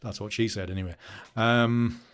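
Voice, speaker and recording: A man's voice: lowish voice, fairly even intonation, normal speed, clean tone. Man, live-stream host, casual rambling chat, frequent chuckles, home desk studio mic. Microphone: new studio microphone.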